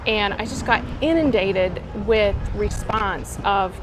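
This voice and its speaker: A woman's voice: medium pitch, takes it slowly, music in the background. A woman who is a superyacht captain, chatting earnestly and enthusiastically in an interview outdoors at a boat show, often repeating words for emphasis. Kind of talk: casual interview chat. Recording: noisy outdoor recording.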